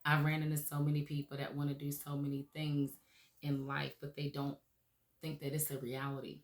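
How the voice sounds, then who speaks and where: A woman host gives an earnest, encouraging monologue, her voice raspy as it comes back from laryngitis. She speaks at an even, level pitch, checking in with listeners now and then. The sound is roomy, with a noticeable echo. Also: a little fast. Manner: earnest, encouraging, frequent "okay?" and "um". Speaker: radio show host. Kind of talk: motivational talk show monologue.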